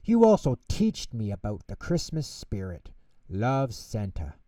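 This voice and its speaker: A man reading a children's bedtime story in an expressive, theatrical narration style, recorded in a roomy space from a distance. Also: medium pitch, clean studio sound. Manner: measured, theatrical delivery with character voices. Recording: roomy echo, distant microphone.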